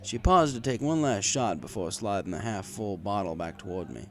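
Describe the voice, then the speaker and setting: A man's voice: lowish voice, animated, normal speed, a bit bright. A man reading a scripted first-person story in the manner of an audiobook narrator. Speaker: story reader.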